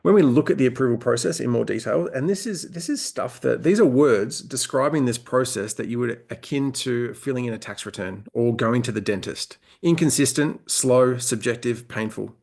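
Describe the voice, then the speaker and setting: A man presenting survey findings in a webinar, conversational, often pausing with "um" and repeating words. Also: medium pitch, gravelly, darkish tone.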